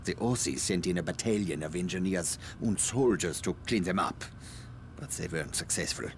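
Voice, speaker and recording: Video game scientist: low voice, formal and precise, politely condescending, slippery, ambient noise underneath. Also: male voice, normal speed. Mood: grave warning. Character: evasive self-justifying male scientist, polite and condescending. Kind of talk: dramatic video game cutscene acting.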